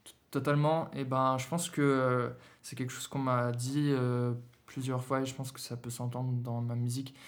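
A young man from Germany, a guest musician in a casual podcast interview, talking in a relaxed, slangy way with many fillers and restarts. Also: mid-range voice, normal speed.

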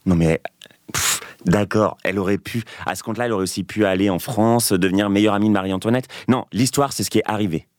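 A man's voice: on the low side, fast, a little mellow, no room sound, clean studio sound, lightly acted. An actor playing a pedantic, dismissive male historian with an exasperated, condescending manner, in naturalistic audio drama dialogue.

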